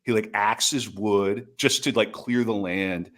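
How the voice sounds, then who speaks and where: A man's voice: lowish voice, steady tone, takes it slowly, fairly bright tone. A man speaking as a podcast guest, telling stories casually with offhand asides.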